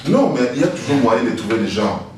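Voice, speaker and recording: A man in a TV studio discussion, animated, repeating key phrases and switching into Lingala. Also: medium pitch, fast talker. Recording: echoey, distant mic with faint noise.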